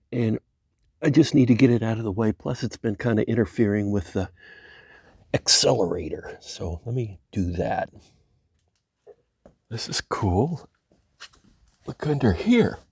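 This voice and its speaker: A man's voice: mid-range voice, vocal fry, mellow, breathy edge, dry recording, studio quality. A man hosting a car hobby video, narrating his hands-on work casually, musing aloud and easily amazed. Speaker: host of a car hobby channel.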